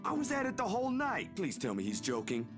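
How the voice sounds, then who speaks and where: Earnest laid-back young man in a game, lively pitch, music behind him. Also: brisk. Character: easygoing, earnest, slightly wry young man. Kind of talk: visual novel voice acting.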